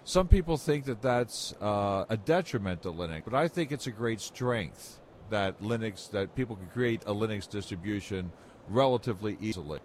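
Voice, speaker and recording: A man's voice: lowish voice, animated, moderate pace, slight background noise. A mature man giving rambling, explanatory anecdotes as an interview guest in a conversational style. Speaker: interview guest, software industry veteran.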